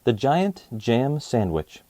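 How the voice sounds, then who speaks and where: A man hosting a children's story channel, reading aloud in an expressive, rhythmic storytelling style. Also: medium pitch, takes it slowly, no room sound.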